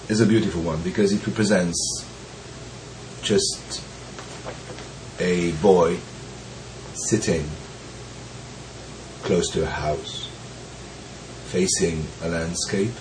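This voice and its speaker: Man, low and unhurried, voice deepened by bronchitis, gentle reflective teacher, faint hiss behind. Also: rough recording. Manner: slow, pausing, gentle with soft laughs. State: recovering from bronchitis, deep voice. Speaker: Zen teacher. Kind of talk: slow reflective teaching talk.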